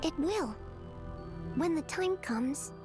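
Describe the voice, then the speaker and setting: A woman playing a gentle, wistful young sister in a dramatic video game scene. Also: high voice, lively intonation, slow. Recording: loud new-age music under the voice.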